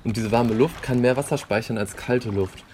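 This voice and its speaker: Actor as a riverside resident explaining, faint water noise behind. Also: male voice, mid-range voice, normal speed, darkish tone, natural voice. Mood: plain. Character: man living by a flood-prone river.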